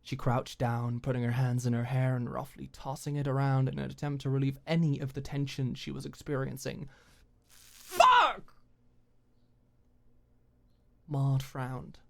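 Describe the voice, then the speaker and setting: A woman's voice: rumbling low, little pitch movement, normal speed, vocal fry, dark tone. A woman reading scripted fiction as an audiobook narrator, in an intimate storytelling style.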